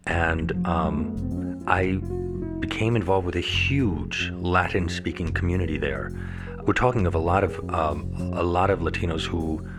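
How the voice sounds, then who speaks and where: A middle-aged man with a very low voice gives a reflective interview, halting and restarting now and then as he searches for words. Loud plucked guitar music plays behind him throughout. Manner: thoughtful, halting, restarts mid-thought. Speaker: documentary interviewee.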